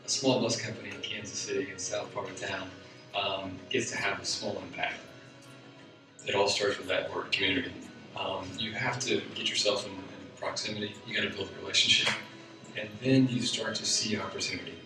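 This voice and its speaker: A man's voice: sits low, steady tone. Plain-spoken man in an echoey room. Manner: plain-spoken, halting with repeats. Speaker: company president. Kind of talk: documentary interview. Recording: echoey, from far off, with music.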